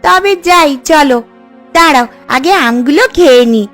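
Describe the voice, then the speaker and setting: A woman's voice: high voice, animated, measured pace, a bit bright, soft background music. A woman voicing a cheeky, carefree village girl in a cartoon. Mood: playful, carefree. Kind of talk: Bengali cartoon voice acting.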